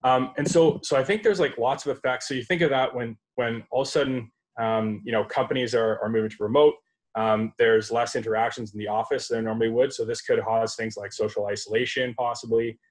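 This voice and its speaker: A man's voice: medium pitch, steady tone, a little fast. A man in his thirties on a laptop video call, answering as a panelist in an online discussion, thoughtful and full of fillers and restarts. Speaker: panelist, startup executive. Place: home room, indoors. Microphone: laptop webcam video call.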